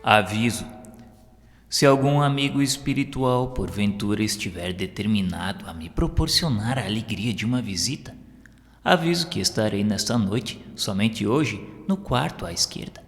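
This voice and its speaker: A man's voice: fairly low pitch, lively intonation, normal speed. Man reading as a humble, courteous Brazilian medium. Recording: long hall reverb, music underneath.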